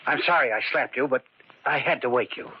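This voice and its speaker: A man's voice: medium pitch, a little fast, slight background noise, close to an everyday voice. An actor playing a psychiatrist who reassures his patient in a firm, insistent way in 1940s radio drama style. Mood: firm reassuring. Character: brisk male psychiatrist, firmly reassuring. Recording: dull old radio recording.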